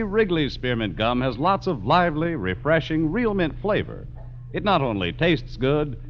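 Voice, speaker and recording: A man's voice: a little high, slight background noise. A man working as a radio announcer, giving a polished 1950s advertising read. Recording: muffled old radio recording.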